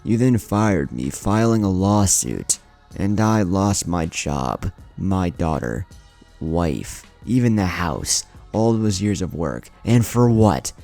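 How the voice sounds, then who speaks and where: A man voicing an enraged, vengeful crystal golem villain with a gravelly, bitter, yelling delivery in an audio story. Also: deep voice, lively intonation, soft background music, full character voice. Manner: yelling, bitter, drawn-out accusations.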